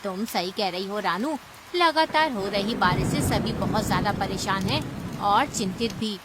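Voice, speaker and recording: Concerned older aunt bird for cartoon played by a woman: measured, worried, clear background noise. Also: medium pitch, lively intonation, voice pushed down, close to an everyday voice. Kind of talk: Hindi children's cartoon dubbing.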